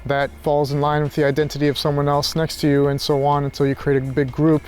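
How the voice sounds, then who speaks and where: A man's voice: a little high, monotone, quick pace, a little mellow, faint music behind. A man thinking aloud in an unscripted reflective monologue, hesitant and searching, often circling back over his phrases. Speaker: philosophy vlogger.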